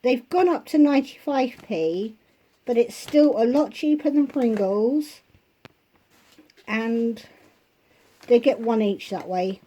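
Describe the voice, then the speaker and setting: A woman vlogger at home, chatting casually through a shopping haul and listing prices, her voice going hoarse. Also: on the high side, lively intonation, mellow, highs cut off. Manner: chatty, unhurried, itemizing prices.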